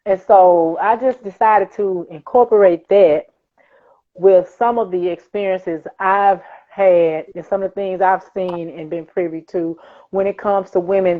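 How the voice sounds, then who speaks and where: A woman from Mississippi with a Southern US accent, a guest author explaining her work conversationally, earnest and emphatic. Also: lowish voice. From Mississippi, United States. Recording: thin phone-like online call audio.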